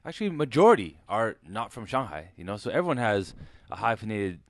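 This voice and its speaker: A man co-hosting a podcast, speaking in a measured, thoughtful way with hesitant starts. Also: fairly low pitch, big pitch swings, dry recording. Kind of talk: casual chat.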